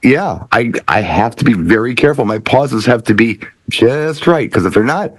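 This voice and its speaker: A man's voice: mid-range voice, lively intonation, normal speed, dry recording. A middle-aged man who works as a DJ, chatting casually as a panelist on a talk show.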